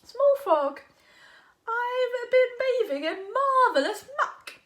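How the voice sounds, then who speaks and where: Woman as a high, sniffy muddy hog. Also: expressive, takes it slowly, fairly bright tone, full character voice. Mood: smug regretful. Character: muddy, self-satisfied male hog wallowing in muck.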